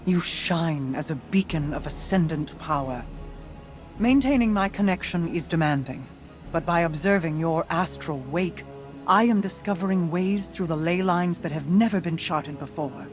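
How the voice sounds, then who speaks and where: A woman acting as a commanding sorceress queen in a game cutscene. Her delivery is stately and solemn, every phrase measured and portentous, over faint music. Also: lowish voice, natural voice. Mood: solemn, reverent. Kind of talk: video game cutscene monologue.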